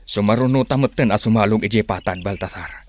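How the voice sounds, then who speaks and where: Man acting a low, serious war strategist. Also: fast, slight background noise, lightly acted. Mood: grave, planning. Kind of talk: Ilocano radio drama acting.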